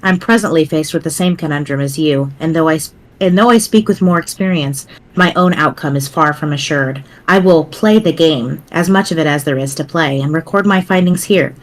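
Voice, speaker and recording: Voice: medium pitch, a little fast, hardly any pauses, natural voice, poor audio. A performer reading as a wry, grave teenage girl writing a doomsday walkthrough in formal, verbose diction for a webcomic dramatic reading. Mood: grave, doomful.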